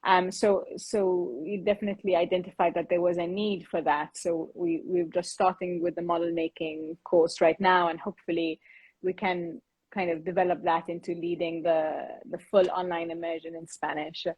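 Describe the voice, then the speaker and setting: A woman, co-founder of a bamboo architecture school, explaining plans in a relaxed interview. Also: fairly low pitch, moderate pace, husky, dry recording, muffled recording. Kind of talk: casual interview talk.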